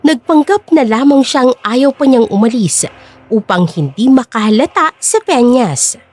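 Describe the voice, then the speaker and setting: A woman's voice: on the high side, animated, measured pace, a little mellow. A woman narrating a scripted audio drama in Tagalog in a dramatic storytelling style.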